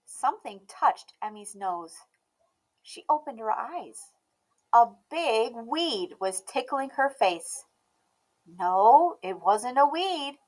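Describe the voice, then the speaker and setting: Very animated, high-voiced woman reading stories. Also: slow pace, poor audio. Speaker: storybook read-aloud host. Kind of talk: expressive children's story narration.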